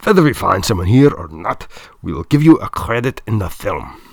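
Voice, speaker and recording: A disdainful German film director played by a male narrator in an audiobook story. He speaks with a German accent and haughty emphasis, his pitch lively, in a dry, close recording. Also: normal speed, gravelly, no echo, exaggerated voice. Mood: businesslike.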